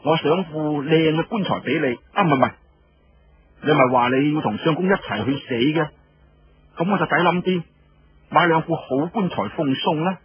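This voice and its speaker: A man's voice: a little high, normal speed, lo-fi sound, a little hiss or hum, lightly acted. A man voicing a brash, teasing woman general with a Cantonese accent, blunt and mocking in a radio story. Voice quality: husky.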